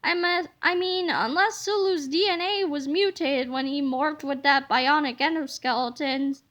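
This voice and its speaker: Storybook kid: earnest overthinking boy, read by a woman, high and bright, puzzled, reasoning aloud. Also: steady tone, a little slow. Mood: speculating. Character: earnest, puzzled schoolboy who overthinks things.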